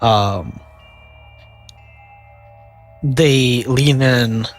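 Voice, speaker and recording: A young man with a nasal voice, narrating a tabletop game as game master, halting and filling pauses with "uh" and "like". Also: medium pitch, soft background music. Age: young adult.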